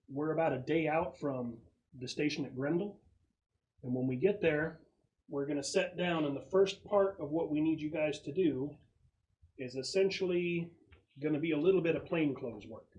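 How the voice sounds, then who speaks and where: A man's voice: a little high, darkish tone, muffled recording, natural voice. A man playing a plain-spoken military superior briefing a squad of marines in a tabletop game.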